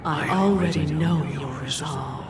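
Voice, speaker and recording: An actor playing a lofty, measured male dream ruler who speaks in a grave, formal way, recorded as a game dub over a loud score. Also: fairly high pitch, over loud music, close to an everyday voice. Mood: solemn.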